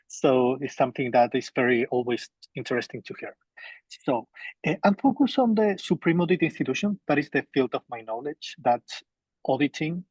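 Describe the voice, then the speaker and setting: Husky-voiced man from Chile. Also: fairly high pitch, darkish tone, no echo, poor audio. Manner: polite, repetitive restarts. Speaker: audience member asking a question. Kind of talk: conference question.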